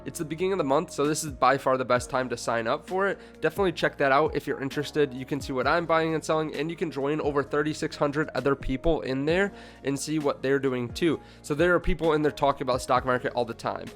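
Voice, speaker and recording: A man's voice: on the high side, quick pace, a bit bright, soft background music. A congested young man in his twenties hosting a finance channel, talking casually to camera with many fillers and restarts. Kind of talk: casual direct-to-camera talk.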